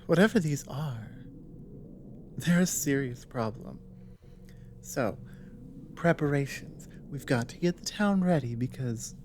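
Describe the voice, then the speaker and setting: A woman playing an earnest, resolute female dragon ally in an ASMR roleplay, speaking in halting, intimate phrases with pauses. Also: extremely low pitch, a little mellow, a little hiss or hum, heavily acted. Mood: determined.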